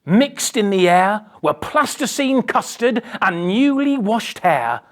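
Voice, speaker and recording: A woman's voice: medium pitch, big pitch swings, slow pace. A woman reading a children's picture book aloud with theatrical, dramatic emphasis. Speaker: storybook reader.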